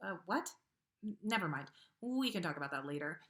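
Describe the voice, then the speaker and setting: A woman's voice: lowish voice, animated, moderate pace, lightly acted. A woman voicing an awkward, impatient, bookish teenage girl in a story, hesitant and easily exasperated.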